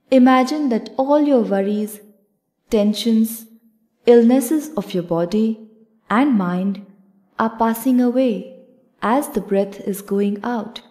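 Casting: female yoga nidra guide, calm and measured, unhurried delivery, soft-edged relaxed tone. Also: a little high. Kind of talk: guided meditation narration.

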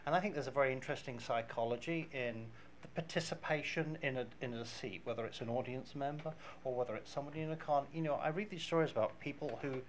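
Over faint venue noise, a man in his fifties speaks at a medium pitch on a live panel. He is thoughtful and halting, building his point as he talks. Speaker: panelist, marketer. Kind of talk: casual live panel discussion. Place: small dim event venue stage. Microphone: handheld microphone.

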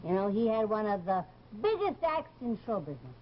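A woman's voice: mid-range voice, sing-song, brisk. An older woman playing a folksy, gossipy landlady and former stage fortune teller in a television drama, with theatrical exclamations. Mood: eager, confiding. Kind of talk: 1950s television drama acting. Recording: dull, noisy old TV soundtrack.

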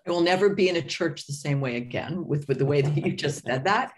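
A woman's voice: deep voice, animated, a little fast, slight background noise. A middle-aged woman co-hosting a casual podcast interview, speaking effusively. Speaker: podcast co-host. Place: home room on video call.

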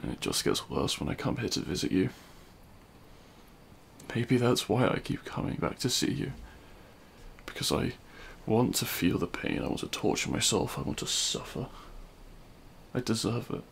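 A man playing a remorseful, self-loathing supervillain in an intimate audio roleplay, speaking in a halting, anguished way. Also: fairly low pitch, big pitch swings, vocal fry, slight background noise. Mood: pained remorse. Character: remorseful male supervillain confessing love at a grave.

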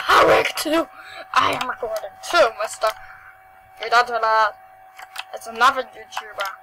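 A female host giving excitable, rambling gameplay commentary over a screen recording, often trailing off into mumbles. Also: on the high side, normal speed. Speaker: gaming channel host. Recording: steady hum behind the voice.

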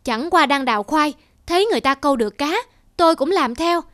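A woman's voice: sits high, expressive, brisk, a bit bright, dry recording, clean studio sound. A woman voicing a lazy, easily distracted, grumbling peasant husband in a folktale cartoon. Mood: excuse-making, excited.

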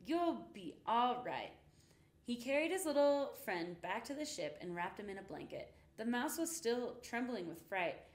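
A young woman tells a children's story with a lively, rising and falling delivery. She sits in a roomy space that adds some echo to her voice. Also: a little high, normal speed, few pauses. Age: young adult. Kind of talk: children's picture book read-aloud. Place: indoor room with painted rainbow backdrop. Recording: roomy, echoing indoor sound.

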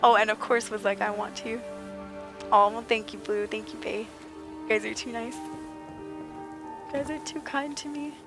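A woman streaming a game, slightly raspy and under the weather, chatting playfully with viewers and joking in mock outrage. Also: a little high, fairly even intonation, unhurried, long pauses, music in the background. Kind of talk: casual gaming chat with viewers.

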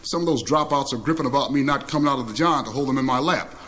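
A man's voice: medium pitch, quick pace, a bit bright, lightly acted. A man voicing a sickly, boastful old tyrant in a satirical story, chummy and slangy. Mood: boastful, griping. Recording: clearly noisy recording.

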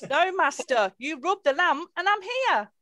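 A woman's voice: very high voice, very animated, a little slow, pushed and bright, no echo, studio quality, heavily acted. A woman playing an ancient, obliging genie of the lamp with grand, servile lines in an amateur pantomime reading. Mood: eager to serve. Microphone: computer mic on video call.

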